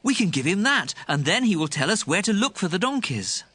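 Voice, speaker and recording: An actor playing an eager, helpful male servant, earnest and excitable, in dramatized scripture. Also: high-pitched, brisk, husky, no room sound, exaggerated voice. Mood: hopeful.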